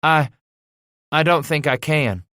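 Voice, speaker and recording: A nervous teenage farm boy voiced by a male narrator speaks in a raised pitch for a historical audiobook. He is hesitant and rustic, timid yet stubborn, with a tense, forceful edge. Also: normal speed, dry recording, studio quality. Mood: frightened.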